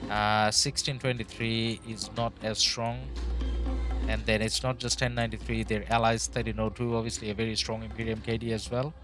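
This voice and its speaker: A man's voice: on the low side, normal speed. A man hosting a live game stream, chatting casually, hedging his views and answering his viewers. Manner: relaxed, hedging, reads chat aloud. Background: background music.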